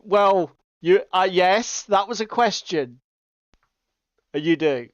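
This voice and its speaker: A man's voice: high-pitched, slow pace, no room sound, muffled recording. A middle-aged man with an English East Midlands accent hosting a casual livestream, bantering with chat in a sweary, jokey, mock-exasperated way. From East Midlands, England. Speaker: livestream host. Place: small indoor room at home.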